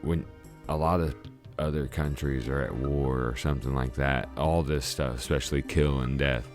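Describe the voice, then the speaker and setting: Man, very deep voice, laid-back, enthusiastic, casual podcast chat, faint music underneath. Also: fairly even intonation, moderate pace. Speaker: podcast co-host.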